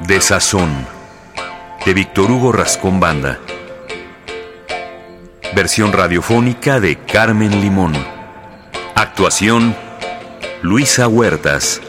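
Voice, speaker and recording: A man's voice: sits low, animated, takes it slowly, pauses often. A man reading a scripted announcement as a radio drama's announcer. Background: music with plucked guitar.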